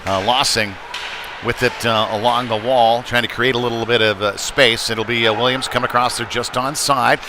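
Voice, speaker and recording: A man's voice: medium pitch, fairly even intonation, moderate pace, noisy background. A man giving live play-by-play commentary of a youth ice hockey game, with conversational, folksy asides.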